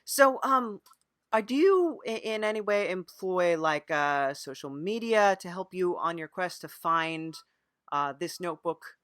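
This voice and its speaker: Casting: improv comedy podcast host, medium-pitched voice, deadpan sympathy, hesitant phrasing, very little room sound. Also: a little slow, smooth, no room sound, studio quality. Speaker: podcast interviewer. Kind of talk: improvised mock interview.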